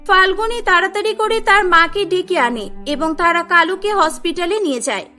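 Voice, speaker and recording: Woman narrating a cartoon story, super high bright voice, soft music under it. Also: moderate pace.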